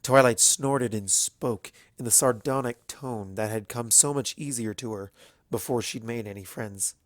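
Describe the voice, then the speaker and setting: Audiobook narrator, medium pitch, scripted fiction reading, very little room sound. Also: a little slow, no echo, clean studio sound. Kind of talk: scripted story narration with character voices.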